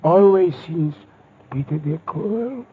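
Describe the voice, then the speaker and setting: An actor playing a grisly old Spanish servant in a radio mystery, formal and ominous, with a Spanish accent. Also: male voice, fairly high pitch, lively intonation, takes it slowly, breathy edge, exaggerated voice. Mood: proud, solemn. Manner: formal, deferential, storytelling with dramatic relish. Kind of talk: 1950s radio mystery acting. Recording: noisy, tinny 1950s radio recording.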